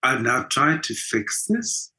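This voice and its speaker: A man's voice: medium pitch, big pitch swings, takes it slowly, sharp tone, husky. An older South African man speaking as an interview guest, telling stories in a casual, reflective way and often acting out quotes with emphasis. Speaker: interview guest, writer and performer.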